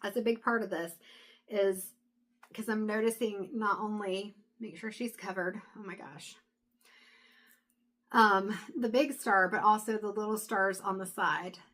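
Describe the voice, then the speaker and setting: A woman giving a casual intuitive tarot reading to camera, chatty and thinking aloud as she goes, her voice going hoarse and breaking into throat clears. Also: mid-range voice, fairly even intonation, unhurried, a bit bright. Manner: chatty, thinks aloud, frequent fillers and restarts. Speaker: tarot reader.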